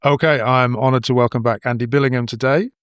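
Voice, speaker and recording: A man hosting a podcast for English learners, speaking clearly with playful introductions. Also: mid-range voice, fairly even intonation, quick pace, dry recording, soft background music. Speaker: podcast host.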